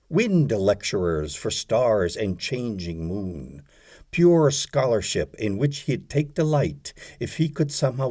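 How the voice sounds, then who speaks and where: A man reading poetry aloud as an audiobook, in a dramatic recitation with measured, deliberate phrasing and pauses. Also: on the low side, warm tone. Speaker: audiobook reader.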